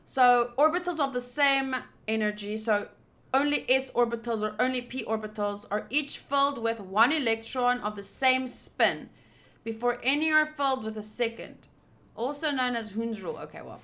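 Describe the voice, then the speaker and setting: A woman doing casual playthrough commentary of a visual novel, reading game text aloud and thinking out loud with excitable energy. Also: fairly high pitch, moderate pace. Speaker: streamer playing a visual novel.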